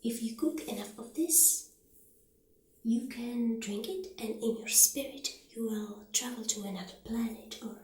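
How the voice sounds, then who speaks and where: A woman speaking softly and breathily, often near a whisper, gently playing a friendly witch shopkeeper in an ASMR roleplay. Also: on the high side. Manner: gentle, unhurried, halting. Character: friendly witch shopkeeper showing potion ingredients. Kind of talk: soft-spoken ASMR roleplay. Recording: roomy echo, faint background noise.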